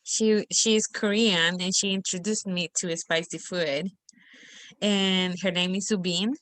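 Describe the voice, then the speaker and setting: A young woman from El Salvador with a Salvadoran Spanish accent, a native Spanish speaker, leading a class discussion on a video call in a friendly, unhurried way with small laughs. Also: lowish voice, fairly even intonation, fairly bright tone. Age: young adult. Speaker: student facilitating a class discussion.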